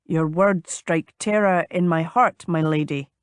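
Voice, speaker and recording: Lady narrator as polite gallant gentleman, voice dropped low, measured n deferential, dry studio sound. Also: clean tone, no echo, close to an everyday voice. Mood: playful. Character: courteous, gallant gentleman suitor, gently reasonable. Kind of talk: audiobook character dialogue.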